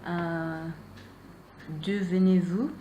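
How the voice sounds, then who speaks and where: A woman's voice: on the low side, steady tone, extremely slow, dark tone, slight background noise. A young woman, a non-native speaker whose first language is Malay, asking interview questions hesitantly, with many fillers and repeated answers. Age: young adult. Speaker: student interviewer.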